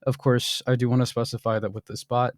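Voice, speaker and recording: A young man casually walking through a software demo, explaining as he goes, with frequent ums and throat clearing. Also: medium pitch, level tone, fairly quick, no room sound, clean studio sound. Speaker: software developer demoing his bot. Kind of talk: screen-recorded software demo.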